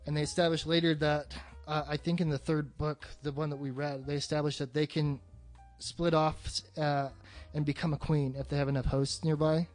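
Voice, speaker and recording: A man's voice: a little high, little pitch movement, normal speed, hardly any pauses, faint music behind. A man hosting a podcast in a casual, rambling movie discussion, swearing freely and sounding enthusiastic. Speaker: podcast host.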